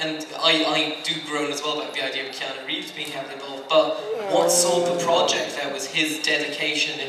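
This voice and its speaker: A man on a convention panel rambles along with asides, his voice a touch high. He sounds far from the mic, and the hall echoes heavily. Background noise sits under everything. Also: bright, forceful tone. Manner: chatty and rambling, with frequent asides and laughs. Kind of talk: panel discussion.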